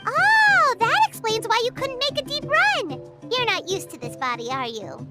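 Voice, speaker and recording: A woman voicing a tiny, childlike floating companion in a video game, with a squeaky, cheeky, blunt delivery. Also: very animated, normal speed, music in the background. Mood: surprised, curious. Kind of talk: cartoonish video game voice acting.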